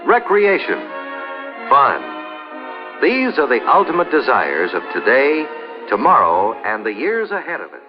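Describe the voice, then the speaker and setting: A man's voice: medium pitch, wildly swooping pitch, a little slow. A man delivering declamatory, emphatic narration in the style of a mid-century promotional film. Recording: muffled old film soundtrack, loud music.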